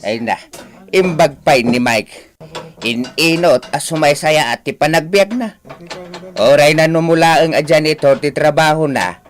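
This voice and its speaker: A man's voice: on the high side, a little slow, lightly acted. An actor with an Ilocano accent playing a scolding, plain-spoken old father in a radio drama.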